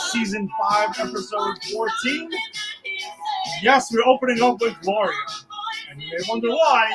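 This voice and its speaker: A man's voice: extremely high pitch, low-quality recording. A man hosting a casual sports podcast, talking in a loose, rambling way with fillers. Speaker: podcast host. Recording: music playing loudly behind.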